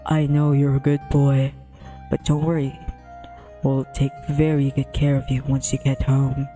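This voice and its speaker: A woman voicing a gentle, reassuring male guardian tiger spirit in a visual novel, soft-spoken and earnest. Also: rumbling low, level tone, unhurried, faint music behind, pitched below the performer's own voice.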